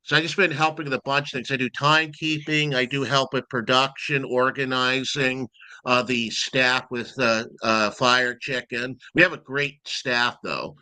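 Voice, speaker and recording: A man with a loud voice, a guest timekeeper rambling expressively in a casual interview chat. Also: medium pitch, steady tone, normal speed, clean tone, no echo.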